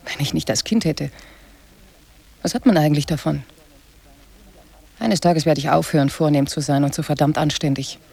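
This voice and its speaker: A woman's voice: deep voice, normal speed, gravelly, long pauses, mellow, breathy edge, exaggerated voice. A woman playing a bitter, nagging middle-class wife and weary mother in a radio drama, proper and full of reproach. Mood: bitter, reproachful.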